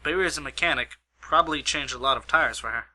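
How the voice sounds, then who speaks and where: A man's voice: medium pitch, fast talker, bright, forceful tone, rough recording. A man playing an earnest, questioning investigator in an amateur radio mystery. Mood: pressing. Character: earnest, questioning male investigator, detective's partner. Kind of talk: amateur radio play acting.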